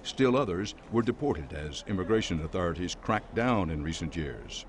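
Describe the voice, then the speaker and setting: A man with a fairly low voice narrates a news report in an even, measured way. The recording carries a faint hiss underneath.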